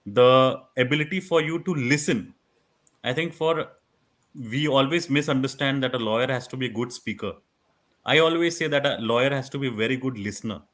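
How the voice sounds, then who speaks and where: Loud-voiced Indian man giving advice. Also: medium pitch. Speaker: guest expert on moot court. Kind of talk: conversational advice in an online workshop.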